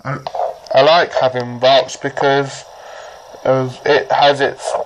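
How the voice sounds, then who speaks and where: A person giving an informal, unscripted how-to demonstration in a halting, repetitive way, often restarting sentences. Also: mid-range voice, flat delivery. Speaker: presenter of a gadget how-to. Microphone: camera or tablet propped nearby. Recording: noisy amateur recording with clicks.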